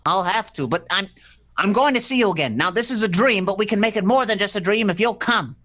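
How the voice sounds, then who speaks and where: A man's voice: high-pitched, animated, brisk, sharp tone, exaggerated voice. A man playing a flustered romantic on the run in an old-fashioned radio play, stammering and pleading. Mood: desperate, romantic.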